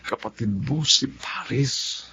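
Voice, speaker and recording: An actor playing a wounded, protective husband in a Tagalog radio drama, earnest and halting with heavy emotion. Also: male voice, mid-range voice, pushed and bright, breathy edge, heavily acted. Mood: angry, pleading. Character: wounded, protective husband confronting his wife's affair. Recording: faint music behind the voice.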